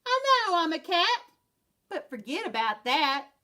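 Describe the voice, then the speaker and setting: A woman's voice: sits high, big pitch swings, measured pace, voice pushed up, full character voice. Woman voicing a bouncy little kitten boy. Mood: playful. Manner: breathless and bouncy, then tiny and hushed. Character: excitable little male Siamese kitten playing a Chihuahua sword fighter.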